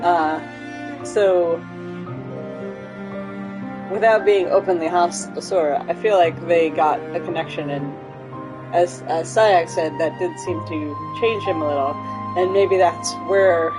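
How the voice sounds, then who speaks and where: A woman's voice: on the low side, unhurried, pauses often. A woman streaming a game, reading aloud and commenting casually, thinking aloud and checking herself with questions. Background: game music.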